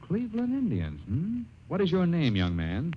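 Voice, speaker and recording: A man's voice: on the high side, huge pitch swings, moderate pace. A man with an American accent interviewing as the announcer of a scripted 1950s radio commercial. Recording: dull, noisy old radio recording.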